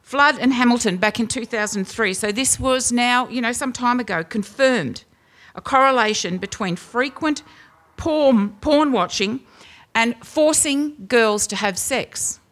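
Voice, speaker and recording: A woman in her fifties with an Australian accent, giving an impassioned speech at a rally with a loud, booming voice and many rhetorical questions, speaking into a stand microphone at a lectern indoors. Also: measured pace. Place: indoor venue with brick wall.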